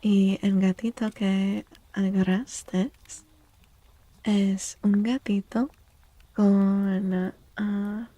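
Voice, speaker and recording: A soft-spoken woman chatting in a gentle, playful ASMR style as a streamer, often dropping to a whisper. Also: medium pitch, no echo, low-quality recording. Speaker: live streamer reading tarot cards for chat. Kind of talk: soft-spoken ASMR chat, greeting viewers.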